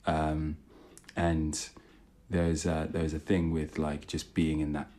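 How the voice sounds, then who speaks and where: A man's voice: rumbling low, completely flat delivery, a little slow, warm tone. A young British man, a podcast co-host, telling his own story in a chatty, informal, self-deprecating way. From born in London, raised partly in Italy and England. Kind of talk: casual personal storytelling.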